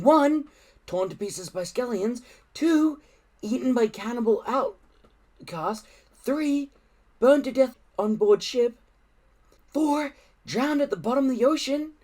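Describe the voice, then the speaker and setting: Man acting a high, whiny, anxious Viking boy. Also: a little mellow.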